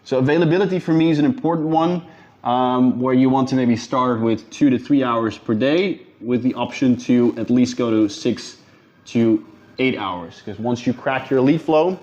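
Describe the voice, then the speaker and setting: Dutch-accented male coach, informal straight talk, warm-dark voice, a little lo-fi. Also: medium pitch, moderate pace. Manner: direct, informal, addresses viewers as guys. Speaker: business coach. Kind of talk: casual talking-head advice video.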